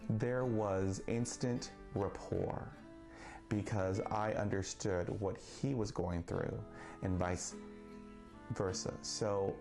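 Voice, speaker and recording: A man who stutters, speaking slowly and deliberately with long pauses in a personal monologue. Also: lowish voice, steady tone, darkish tone. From Texas. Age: 40s. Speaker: vlogger. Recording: faint guitar music behind.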